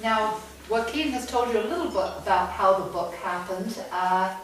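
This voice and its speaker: A middle-aged woman with a loud voice gives a chatty, animated talk to an audience as a guest author. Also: mid-range voice. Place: large echoey room from far off. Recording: echoey room, distant mic, faint noise.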